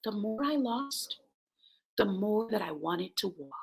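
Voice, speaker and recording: A woman's voice: mid-range voice, animated, measured pace, gravelly. A woman hosting a self-help show, giving a motivational talk to viewers with personal stories, in an emphatic way that sometimes drops toward a whisper.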